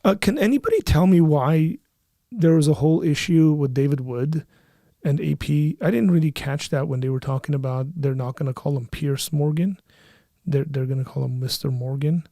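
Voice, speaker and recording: Man, livestream co-host, reading chat, bantering, slightly raspy, gravelly, dry close sound. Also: fairly high pitch, moderate pace, dark tone, no room sound. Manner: reads viewer comments aloud, playful and bantering.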